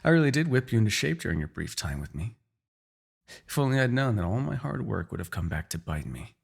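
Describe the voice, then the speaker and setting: Casting: male actor for a villainous demon king, gravelly and wildly swinging in pitch, seductive, taunting, theatrical fantasy roleplay. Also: big pitch swings, exaggerated voice. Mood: smug, taunting. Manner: theatrical, taunting, drawn-out dramatic delivery. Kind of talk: scripted fantasy ASMR roleplay acting.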